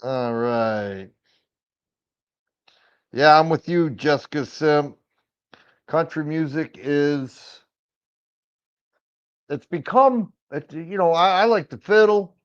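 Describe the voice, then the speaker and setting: A middle-aged man from Michigan with a raspy voice, hosting a casual firearms livestream and chatting with viewers, rambling and restarting his sentences often. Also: on the high side, animated, slow, long pauses. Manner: rambling, with frequent stammered restarts. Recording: dull lo-fi stream audio.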